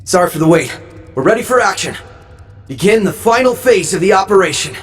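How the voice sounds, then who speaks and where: An actor playing an earnest, determined young male team leader with a husky voice in a video game. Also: high voice, animated, fairly quick, big-room sound, faint music behind. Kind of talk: anime-style video game voice acting.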